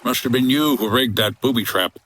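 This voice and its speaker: A man's voice: medium pitch, fairly quick, a bit bright, a little hiss or hum, close to an everyday voice. A man playing a wry, tough 1950s boat skipper in a comedy audio drama, talking in a clipped hardboiled way. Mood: calm, bargaining.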